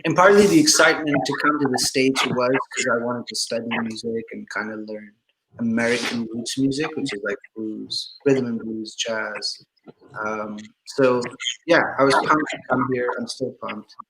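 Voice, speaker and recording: A young man from Pakistan talks easily and chattily at an ordinary middle pitch. His recording carries a clear layer of background noise. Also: moderate pace. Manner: relaxed, chatty, laughs easily. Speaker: musician guest. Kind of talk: casual video interview.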